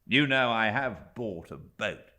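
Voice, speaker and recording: A breezy, self-assured young British gentleman from a classic novel, as a male narrator plays him. The voice is bright and forceful, swinging widely in pitch, with an airy, jocular confidence. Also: animated, slow pace, exaggerated voice. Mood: merry, airy. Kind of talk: dramatised classic audiobook dialogue.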